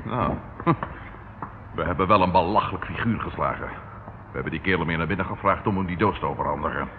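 An actor in a radio drama playing a plain-spoken police inspector, blunt and questioning in manner. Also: male voice, sits low, fast talker, lightly acted. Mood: wry, annoyed. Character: plain-spoken, lively male police inspector, partner detective. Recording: tinny, noisy old radio recording.

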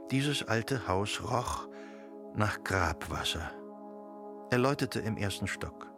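A man reading literary narration for a radio drama in a measured, deliberate way. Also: on the low side. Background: music.

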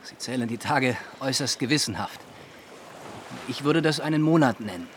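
Period radio drama, male actor: self-assured gentleman suitor, medium pitch, formal and persuasive, faint background noise. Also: lively intonation, normal speed, close to an everyday voice. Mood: solicitous.

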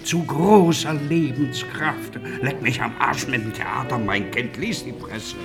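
An actor playing an eccentric, kindly theatre director savouring wine in a declamatory way in a radio play. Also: male voice, mid-range voice, measured pace, gravelly. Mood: blunt. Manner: declamatory, connoisseur-like. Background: music.

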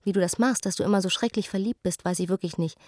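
A young woman played by an actress speaks an anguished radio-drama letter in a medium-pitched voice. She is intimate and reflective with a bitter streak, recorded very dry. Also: fast talker, no echo, natural voice. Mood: tender longing.

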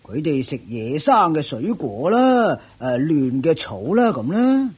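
An actor playing a proud older ascetic master in a radio drama, with a husky, raspy voice, a drawling self-satisfied manner. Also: male voice, a little high, very animated, unhurried, darkish tone, heavily acted. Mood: proud, unhurried. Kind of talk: Cantonese radio drama acting.

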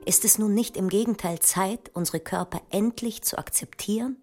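A woman's voice: medium pitch, moderate pace, a little mellow, natural voice. An actress playing a proud, contrary woman mathematician of the 19th century, assertive and declamatory in a radio play. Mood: challenging.

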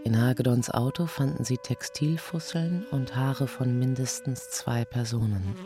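An actor playing a sober police detective, narrating a crime drama in a matter-of-fact way. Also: male voice, medium pitch, a little slow, over music, natural voice. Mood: sober, factual. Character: male police detective soberly recounting a murder case. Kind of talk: scripted first-person crime drama narration.

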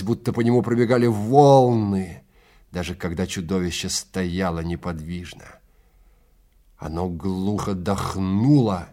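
A man narrates a dramatic story in a fairly low voice. His delivery is very slow and measured, with a theatrical storyteller's manner.

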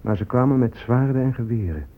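An actor playing a grave leader recounting conquest in deliberate radio drama monologue. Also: male voice, medium pitch, expressive, natural voice. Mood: puzzled, reproachful. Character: grave man recounting conquest, warrior or chief. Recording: tinny, phone-like old radio recording.

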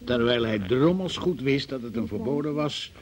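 An actor playing a stern, sarcastic examining magistrate in a radio play, brusque and probing in manner. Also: male voice, mid-range voice, animated, normal speed, crowd noise, close to an everyday voice. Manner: brusque, sardonic, interrogating. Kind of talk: Dutch-language radio play acting.

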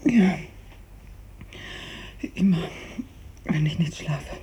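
An actress playing a gravely ill, bedridden woman in a 1950s radio drama, fearful and imploring, her weak, halting voice often sinking to a whisper. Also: lowish voice, expressive, dark tone, slight background noise. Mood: weak, wistful. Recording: muffled 1950s radio recording.

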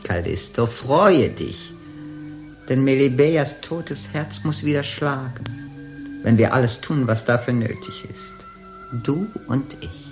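A woman's voice: a bass voice, exaggerated voice. An actress playing a sly, teasing, hundred-year-old witch and bawd in a radio play, speaking in a mocking, grandiloquent way.

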